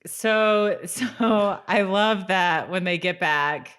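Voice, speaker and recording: Low-voiced woman, casual. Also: very slow, fairly bright tone, clean tone. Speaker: podcast co-host. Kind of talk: casual chat about a TV show.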